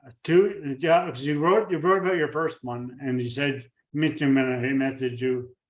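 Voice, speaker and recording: A man hosting an interview podcast, talking in a halting way with many fillers and restarts. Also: mid-range voice, fairly even intonation. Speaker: podcast host. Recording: echoey room, far from the mic.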